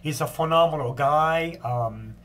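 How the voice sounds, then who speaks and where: Older guy w a head cold doing his daily video update, kinda high voice, lots of ums. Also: a bit bright. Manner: plain, unhurried talk with frequent ums and restarts. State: stuffed up from a cold. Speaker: long-distance walker giving a daily update. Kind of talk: casual video diary.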